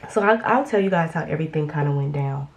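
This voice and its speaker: A young woman with a low, deep voice talks through a casual get-ready-with-me update at home. She sounds a little congested from a recent illness and rambles on with frequent ums and restarts. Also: lively intonation, fast. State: slightly congested after illness. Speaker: beauty vlogger.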